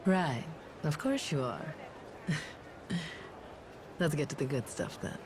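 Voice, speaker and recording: A woman's voice: sits low, animated, takes it slowly, noisy background. An actress voicing a tough, self-assured young woman bodyguard in a video game cutscene, casual and slangy. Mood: businesslike.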